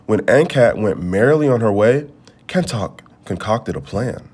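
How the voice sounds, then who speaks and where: A man reading a children's storybook aloud, with measured, expressive phrasing. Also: fairly low pitch, breathy edge. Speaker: storybook reader. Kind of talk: read-aloud storybook narration.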